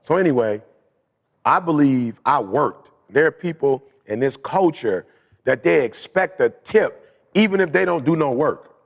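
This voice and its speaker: A man's voice: a little high, animated, measured pace, vocal fry, big-room sound. A man co-hosting a podcast in casual chat, teasing the others and slipping into preacher-like talk about faith. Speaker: podcast co-host.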